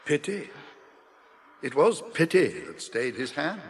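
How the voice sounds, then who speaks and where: An actor playing a grave, compassionate old wizard in a radio drama, solemn and deliberate. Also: male voice, on the high side, expressive, a little hiss or hum.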